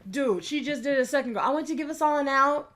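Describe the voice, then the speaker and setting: Slightly high-voiced woman ranting, sarcastic and fed up, picking apart a video. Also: fast, fairly bright tone. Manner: exasperated, sarcastic, argumentative. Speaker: commentary youtuber. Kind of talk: reaction rant over a clip.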